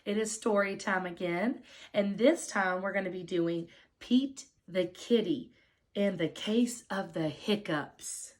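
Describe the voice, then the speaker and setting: A woman, a preschool teacher, reading a picture book aloud to young children in a slow, expressive storytelling manner. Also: mid-range voice.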